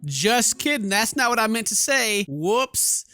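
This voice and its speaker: A man's voice: high-pitched, big pitch swings, unhurried, bright, forceful tone. A man doing casual, jokey, slangy gameplay commentary, mimicking the characters as he plays. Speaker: video game streamer playing a visual novel.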